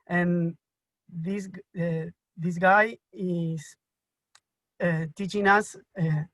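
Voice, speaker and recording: A Spanish-speaking man from Argentina asks a question over a video call in slow, halting English with an Argentine accent. He stops often, repeats words and fills gaps with "uh." The sound is dry and close. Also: high voice, fairly even intonation, a bit bright, no room sound. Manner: halting, many fillers and restarts. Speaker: audience member asking a question. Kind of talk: hesitant question in a live online Q&A.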